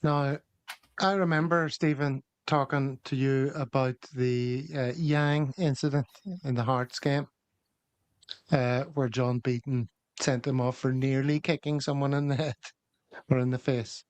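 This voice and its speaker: A man's voice: medium pitch, steady tone, unhurried, clear, resonant tone, dry recording. A man hosting a casual football podcast, reading viewer comments and running through match stats. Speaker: podcast host.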